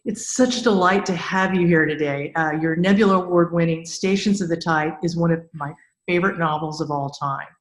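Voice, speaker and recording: A woman's voice: on the low side, steady tone, a little fast, roomy sound, low-quality recording. A woman hosting a conversational podcast interview, speaking in an effusive, enthusiastic way.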